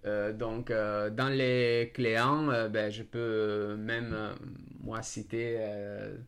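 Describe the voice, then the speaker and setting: A man's voice: fairly low pitch, little pitch movement, slow, a bit bright. A man with an Italian accent, a native Italian speaker, talking hesitantly and searching for words in an unscripted discussion. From Italy.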